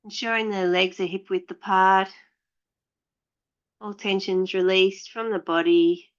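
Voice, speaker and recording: A woman yoga teacher guiding meditation and breathing in a measured, soothing way, leaving long pauses between phrases. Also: mid-range voice, steady tone, clean tone. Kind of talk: guided yoga and meditation instruction. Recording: dull, slightly lo-fi sound.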